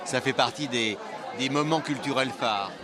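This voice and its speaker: Man, festival-goer, measured, articulate, crowd chatter. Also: mid-range voice. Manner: measured, articulate with repeated restarts. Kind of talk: vox-pop answer.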